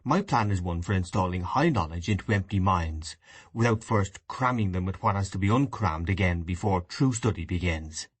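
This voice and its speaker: An earnest, idealistic young man speaks in a low, husky voice, as a man puts him on. He sounds steady and grave. The recording is close and dry. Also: normal speed, no echo. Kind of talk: audiobook dialogue reading.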